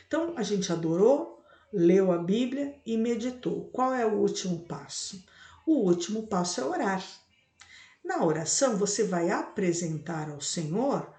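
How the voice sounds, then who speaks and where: A Brazilian woman speaks as a church teacher, with a soft-edged, warm and dark tone at a middle pitch. She explains step by step in a didactic devotional style, posing questions and adding little tag questions. The sound is roomy, with clear echo around her voice. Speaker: evangelical bishop and Bible teacher. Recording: roomy, echoing room sound.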